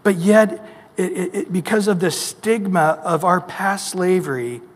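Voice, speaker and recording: Male pastor, high voice, emphatic sermon delivery with rhetorical questions, long church reverb. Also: measured pace.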